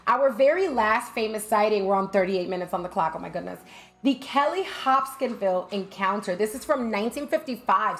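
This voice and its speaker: A woman from the United States hosts in a loose, chatty monologue, stopping often to correct herself mid-sentence. She asks her listeners rhetorical questions as she goes, and her pitch sits right in the middle for a woman. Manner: frequent fillers and self-corrections. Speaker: host. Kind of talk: casual talk-show monologue.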